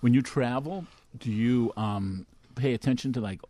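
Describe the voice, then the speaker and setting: A man hosting a casual interview, chatty and quick with follow-up questions. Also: medium pitch, mellow. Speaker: interviewer host.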